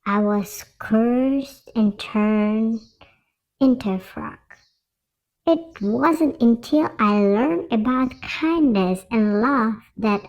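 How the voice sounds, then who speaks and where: Small wise frog, very slow, played by a woman. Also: fairly high pitch, muffled recording, exaggerated voice. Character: small wise talking frog, former prince. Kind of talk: children's fairy tale storytelling.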